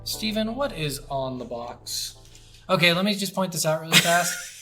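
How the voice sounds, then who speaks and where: High-pitched, lively man with crude humor. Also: normal speed, over music. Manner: crude, profane, jokey. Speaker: podcast co-host. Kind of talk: casual comedic banter about video games.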